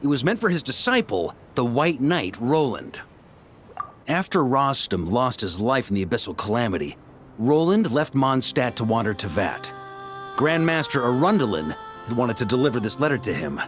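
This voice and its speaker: An actor playing a composed, scholarly young male knight in a game, calmly explaining things. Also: mid-range voice, normal speed, natural voice. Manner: calm, articulate explainer. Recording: clear game music behind.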